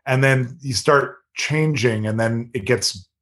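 A man talking in a relaxed, rambling way as a podcast guest, with a slightly nasal yet deep voice and many fillers. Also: slow pace. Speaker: podcast guest, improv performer. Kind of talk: casual chat.

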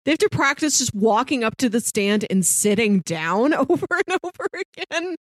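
A woman's voice: a little high, big pitch swings, moderate pace, no echo, studio quality. A woman co-hosting a podcast, giving her opinions in casual review chat. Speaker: podcast co-host.

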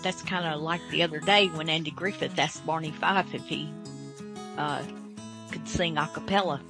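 A woman hosting a radio show casually, folksy, halting and rambling. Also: deep voice, steady tone, music in the background. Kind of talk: casual unscripted show introduction.

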